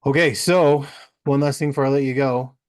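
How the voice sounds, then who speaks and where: A man's voice: medium pitch, fairly even intonation, a little fast, smooth. A man of about forty hosting a podcast, chatting in an informal, chummy way. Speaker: podcast host. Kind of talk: casual interview chat.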